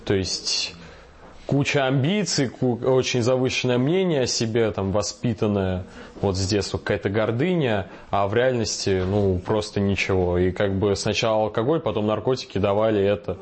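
Reflective young man with a low voice. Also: moderate pace, a little mellow. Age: 20s. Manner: reflective, self-deprecating. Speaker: interviewee in rehab. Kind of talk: candid interview answer.

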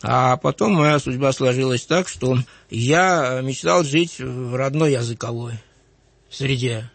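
A man in his sixties from Georgia with a light Georgian accent, a writer recalling his life in an interview, measured and thoughtful with frequent hesitant fillers. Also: medium pitch, creaky, no echo. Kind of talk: reflective interview recollection.

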